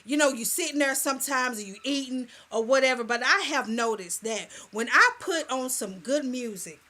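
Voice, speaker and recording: A woman hosting a radio talk show in casual, playful chat, with a soft voice and a sassy, lively manner. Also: high voice, moderate pace. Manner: lively, playful, sassy, calls listeners "girl" and "y'all".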